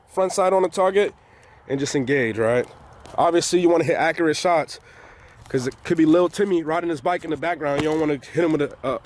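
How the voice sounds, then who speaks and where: Congested man coaching outdoors over noise. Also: a little high. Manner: laid-back and coaching, street slang. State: congested from illness. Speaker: firearms instructor. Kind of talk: casual instructional vlog. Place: outdoors on a hilly shooting range, rain starting. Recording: outdoor background noise with passing traffic.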